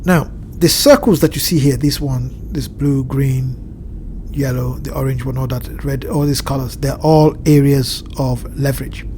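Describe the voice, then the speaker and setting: A man with a stuffy nose from a cold, his voice sitting at a middle pitch with a dark, mellow tone. He explains a chart in a measured way, often pausing and restarting as he works out his points. A faint low rumble runs underneath. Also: steady tone, vocal fry. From Southern Hemisphere. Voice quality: slightly nasal. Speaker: crypto market analyst. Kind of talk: screen-share chart walkthrough. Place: home at evening, indoors.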